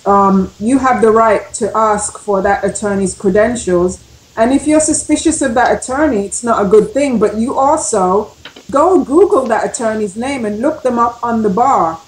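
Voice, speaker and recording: A woman's voice: fairly high pitch, normal speed. Instructive woman in an echoey room. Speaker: panelist giving legal advice. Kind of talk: explanatory advice on a radio talk show.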